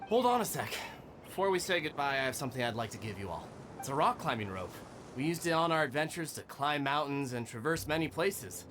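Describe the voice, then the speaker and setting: A man voicing an earnest, grateful young adventurer in a video game, speaking sincerely and gently. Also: fairly high pitch, big pitch swings, a little fast, over music. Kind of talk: English video game voice acting.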